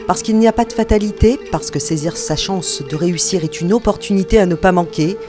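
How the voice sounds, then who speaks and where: A woman's voice: lowish voice, a little fast. Woman narrating over guitar music. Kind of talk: scripted corporate voice-over.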